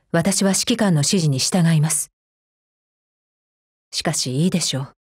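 A woman's voice: lowish voice, darkish tone, breathy edge, no room sound, clean studio sound. A woman playing a cold, efficiency-minded female combat android in a mobile game, speaking formally in a clipped, stern, unemotional way. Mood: businesslike, composed. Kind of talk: scripted mobile game character voice lines.

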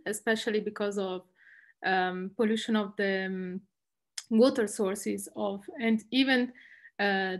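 A young woman from northern Italy with an Italian accent, explaining as a guest speaker on an online panel. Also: medium pitch. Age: young adult. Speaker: guest speaker, environmental law specialist. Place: indoors, room at home. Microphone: video call, laptop distance.

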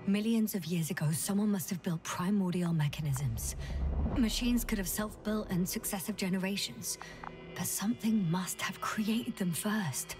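A woman playing a calm, analytical scientist in a video game, explaining in a measured, slightly breathy way. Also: medium pitch, over music, lightly acted. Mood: measured, explanatory. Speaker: game character, doctor. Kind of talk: dramatic video game dialogue.

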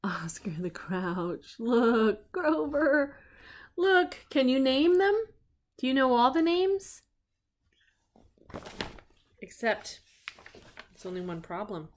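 A woman's voice: high-pitched, very animated, slow pace, pauses often. A middle-aged woman reading a children's story aloud expressively, with chatty asides to young listeners. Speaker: grandmother reading stories on her own show. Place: living room at home.

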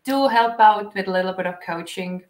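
A young woman from Estonia, an English speaker, chatting casually and a little haltingly in an interview. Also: medium pitch, a little mellow. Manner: informal, slightly halting, giggly. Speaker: cricket player and coach. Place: small indoor room, seated on a couch. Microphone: shared video call microphone, at some distance. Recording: roomy echo on a video call.

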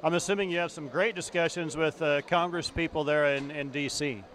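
A man's voice: fairly high pitch, steady tone, a little fast, fairly bright tone. Man interviewing with a handheld mic. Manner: conversational with fillers. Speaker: farm broadcaster interviewer. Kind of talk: field interview. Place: indoor exhibition hall.

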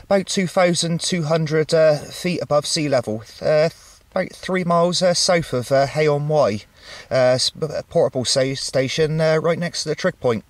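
A man chatting casually as a CB radio operator, with a halting delivery, recorded outdoors. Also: a little high, a little hiss or hum. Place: outdoors on a mountain top.

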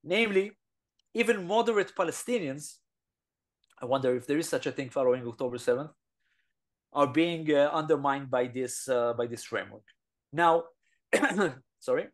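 A man's voice: on the high side, normal speed, long pauses. Israeli man lecturing over a headset. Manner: earnest, emphatic lecturing with frequent fillers and restarts. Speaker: guest lecturer. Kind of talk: webinar presentation. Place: small room indoors. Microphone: headset on a video call.

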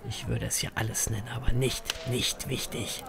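A man's voice: medium pitch, flat delivery, normal speed, creaky, a bit bright. A man playing a sardonic, hot-tempered grim-reaper ferryman who also narrates a fantasy horror audio drama. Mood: accusing. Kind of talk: audio drama acting with first-person narration.

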